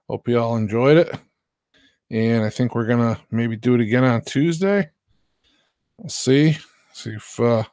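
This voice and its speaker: An American man hosting with casual, informal, unhurried chat. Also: medium pitch, no echo, studio quality. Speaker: host.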